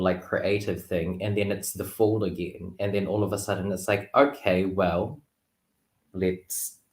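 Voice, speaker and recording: A man chatting casually and reflectively as a guest tarot reader, halting often and leaning on "like" and "you know". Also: deep voice. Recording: roomy, echoing livestream call.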